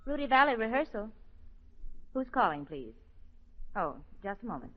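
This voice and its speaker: An actress playing a polite, businesslike studio receptionist in a 1940s radio comedy sketch. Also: female voice, fairly high pitch, big pitch swings, normal speed. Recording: dull 1940s radio broadcast recording.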